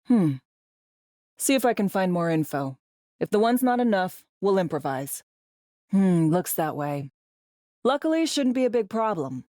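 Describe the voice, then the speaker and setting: A woman acting a brisk, sardonic, foul-mouthed veteran secret agent in video game dialogue, with dry, clipped, conversational phrasing. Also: mid-range voice, sing-song, a little mellow, dry recording. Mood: wry, confident.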